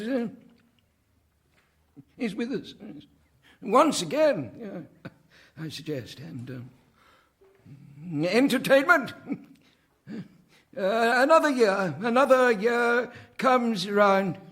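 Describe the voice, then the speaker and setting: An actor playing a doddering, genial village squire who bumbles through a speech in a radio drama, halting and trailing off mid-sentence. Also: male voice, very high-pitched, animated, a bit bright, full character voice. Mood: muddled, genial.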